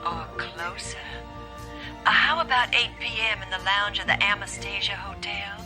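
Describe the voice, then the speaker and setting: Lady doing a soft breathy flirty widow, mid-pitched, music behind. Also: moderate pace, natural voice. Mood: seductive. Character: sultry, flirtatious female widow.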